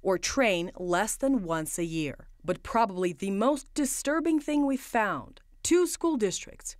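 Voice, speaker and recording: A woman's voice: mid-range voice, lively intonation, measured pace, dry recording, studio quality. A woman news reporter delivering scripted narration for a broadcast story.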